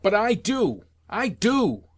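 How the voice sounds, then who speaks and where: A man voicing an excitable, bitter, jealous young man in an audiobook novel, speaking fervently in exclamations. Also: high-pitched, moderate pace, no room sound, clean studio sound, pitched above the performer's own voice, natural voice. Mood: furious, bitter. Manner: fervent, exclamatory, broken repetitions.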